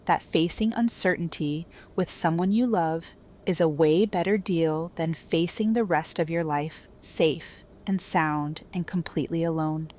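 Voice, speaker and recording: A jaded woman with a slightly low voice, played by an actress in an audio drama. She is blunt and confrontational, a hard bargainer with a cutting edge. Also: unhurried, a little mellow. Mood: cutting, bitter.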